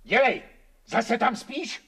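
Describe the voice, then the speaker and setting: An actor playing a grumpy, impatient husband in a radio drama, gravelly and husky. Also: male voice, very high voice, big pitch swings, fairly bright tone, heavily acted. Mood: nagging. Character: grumpy, impatient spouse nagging and threatening.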